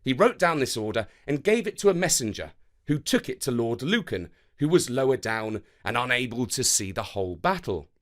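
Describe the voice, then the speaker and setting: A man narrating scripted history and verse for children in a measured, dramatic way. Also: mid-range voice. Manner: measured, expressive, dramatic delivery. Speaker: storyteller narrator.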